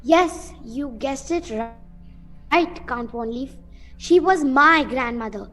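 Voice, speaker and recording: A woman playing a proper, nervous but defiant village mother in an amateur radio play, speaking in a halting, deliberate way. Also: high voice, a bit bright, soft background music. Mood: grave, defiant.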